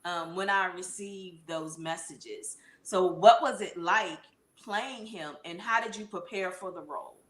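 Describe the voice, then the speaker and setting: A woman's voice: lowish voice, measured pace, few pauses. A woman speaking conversationally as an interviewer, recorded with roomy echo. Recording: roomy, echoey room sound.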